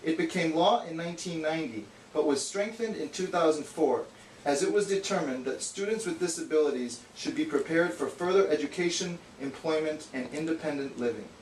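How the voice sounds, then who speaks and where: A man's voice: fairly high pitch, a little fast, a little mellow, lightly acted. A man voicing a bookish, exasperated know-it-all puppet, explaining in a didactic, slightly condescending way in an amateur educational puppet show. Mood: earnest, didactic. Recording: echoey room, distant mic, hissy noise.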